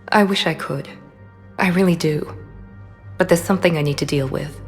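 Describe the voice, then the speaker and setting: An actress playing a poised, guarded former operative in a video game, speaking in a controlled, understated way with quiet vulnerability. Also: female voice, sits low, moderate pace, in a large hall, faint music behind, lightly acted. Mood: guarded, tender. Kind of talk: dramatic video game acting.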